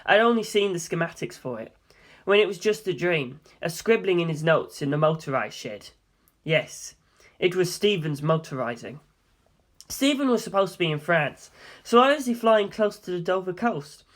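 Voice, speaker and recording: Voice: medium pitch, lively intonation, moderate pace. A narrator reading a scripted first-person story for an audiobook.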